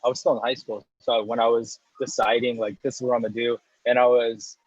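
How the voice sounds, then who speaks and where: A man's voice: mid-range voice, flat delivery, a little fast, breathy edge, no echo, lo-fi sound. A man in his thirties, a guest in a casual interview, rambling through his story with restarts and small laughs. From United States. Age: 30s. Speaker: guest, coffee roaster and business owner.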